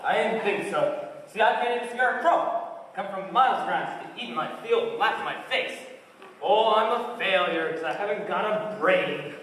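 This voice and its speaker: A man's voice: sits high, normal speed, fairly bright tone, slight background noise. A young man on stage playing a friendly, hapless, brainless scarecrow in an amateur musical. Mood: flustered, friendly. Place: school stage, recorded from the audience area. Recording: echoey hall from far off, music behind.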